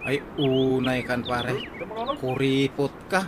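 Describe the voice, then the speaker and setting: A man playing a teasing married workmate in an Ilocano radio comedy drama, with an Ilocano accent and drawn-out interjections. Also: medium pitch, little pitch movement, close to an everyday voice. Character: teasing, married male workmate and drinking buddy. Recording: very noisy, cooing birds behind.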